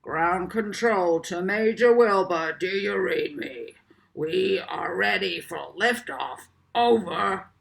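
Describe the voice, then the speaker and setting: Woman acting a booming, commanding space ground controller. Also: takes it slowly, vocal fry, full character voice. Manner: booming, clipped countdown and radio-call delivery. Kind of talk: audiobook character voice.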